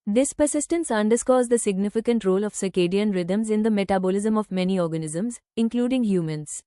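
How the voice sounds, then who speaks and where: A woman reads scripted academic passages in a formal, careful way. Her voice is very smooth and mellow, at a middling pitch. The recording is close and dry, without room sound. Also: no echo. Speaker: exam practice reader. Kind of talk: scripted read-aloud of short academic passages.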